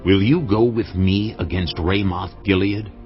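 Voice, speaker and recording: A man's voice: low voice, a little slow. An actor playing a proud, resentful king in a dramatized audio Bible, with an imperious, theatrical manner. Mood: urging. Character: proud, resentful king of Israel, commanding and impatient. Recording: dull lo-fi audio over music.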